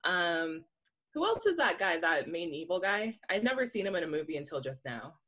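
Podcast co-host, mid-pitched, playful, laughs a lot. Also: very animated, pushed and bright. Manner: laughs often, quick playful reactions. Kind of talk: casual movie review chat.

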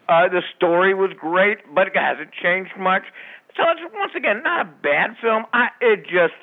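A man reviewing a movie in casual radio chat, blunt and joking, speaking in halting restarts. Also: high voice, animated, phone-quality sound. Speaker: movie critic.

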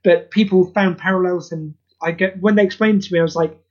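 A man talking as a guest on a podcast about the charity audio play he wrote, candid, self-deprecating and quick to swear. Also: high voice, steady tone, a little mellow, highs cut off. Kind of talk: casual podcast interview.

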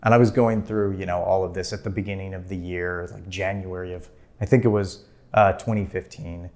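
A man's voice: deep voice, fairly even intonation, a little fast, darkish tone. A man in his thirties, a filmmaker guest, telling his story in a casual interview with lots of fillers and easy laughs. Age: 30s. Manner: rambling, many "like" fillers, laughs easily.

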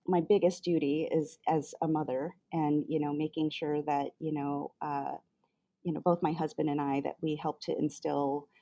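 A woman in her fifties from Chicago, answering interview questions in a halting way with many fillers and restarts. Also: sits low, flat delivery, mellow. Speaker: guest artist.

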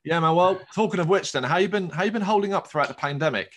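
A man's voice: sits high, quick pace, a bit bright. A man hosting a music podcast, moving between introduction and interview chat. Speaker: podcast host.

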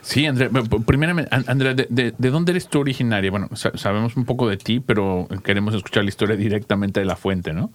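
A man's voice: lowish voice, quick pace, few pauses. A man co-hosting a radio show, asking friendly questions and often stammering on the first sounds of words. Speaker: co-host. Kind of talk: radio interview.

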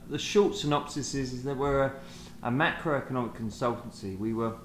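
A man with a British accent from England, talking as a podcast guest in a halting way, with many fillers and restarts. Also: medium pitch. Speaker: guest, sales manager. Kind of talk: conversational podcast interview. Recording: echoey room, faint noise.

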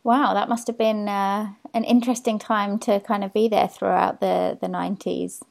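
A woman's voice: medium pitch, little pitch movement, normal speed, creaky, darkish tone. A woman hosting a podcast, asking her guest friendly questions. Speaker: podcast host. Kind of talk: podcast interview, read sponsor message.

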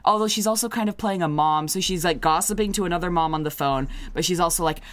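A woman's voice: lowish voice, fast, a bit bright. A young woman co-hosting a podcast, chatting casually in a bubbly, rambling way and laughing often. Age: young adult. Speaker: podcast co-host.

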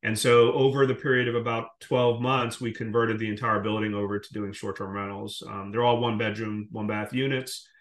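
A roomy, echoing recording of a man from the Caribbean with a slightly low voice. He talks conversationally as an interview guest, laying out business details in an explanatory way. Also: flat delivery, normal speed, few pauses. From Caribbean, born in Guyana and raised in Jamaica. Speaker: podcast guest, short-term rental investor.

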